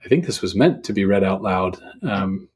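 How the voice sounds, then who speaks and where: Low husky thoughtful man. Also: lively intonation, normal speed. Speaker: podcast guest, writer. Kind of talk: casual reflective podcast conversation.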